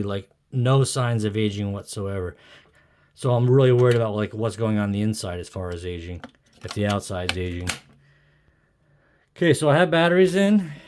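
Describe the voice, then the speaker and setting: A man's voice: lowish voice, darkish tone. A middle-aged man talking casually to himself as he shows something at home, hesitant, musing and self-deprecating. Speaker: amateur video maker. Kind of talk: casual rambling talk to himself while showing an object. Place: indoors at a wooden desk in a home room. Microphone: camera or phone on a tripod. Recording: clearly noisy home recording with clicks.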